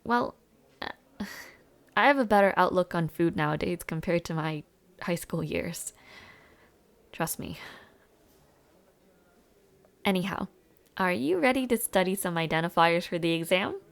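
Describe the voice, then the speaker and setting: Woman playing a creaky-voiced, friendly college girl. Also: on the low side, lively intonation, unhurried, long pauses. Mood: casual, chatty. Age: young adult. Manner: casual, hesitant, giggly, trailing afterthoughts. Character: friendly, chatty young female university student and dormmate. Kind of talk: intimate audio roleplay, casual one-sided conversation.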